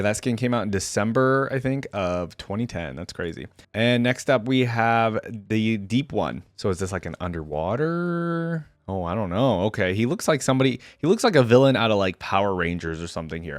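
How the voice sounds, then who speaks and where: A man with a fairly low voice, recorded close and dry with little room sound. He gives casual reaction commentary as a host, thinking aloud in an informal, conversational way. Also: no room sound. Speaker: video game reaction host.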